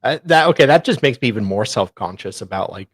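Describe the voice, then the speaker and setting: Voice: medium pitch, expressive, fast, no echo, studio quality. A co-host chatting casually and reading screenshots aloud, with sarcastic asides. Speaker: co-host doing dramatic readings.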